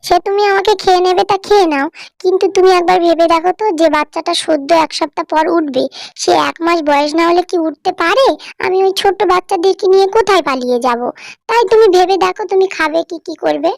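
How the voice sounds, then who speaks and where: A small, clever mother sparrow from a cartoon played by a woman. Her voice is very high, almost like a child's. She pleads and persuades in a coaxing way, with no room sound around her. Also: quick pace, vocal fry, no room sound, studio quality. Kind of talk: cartoon voice acting.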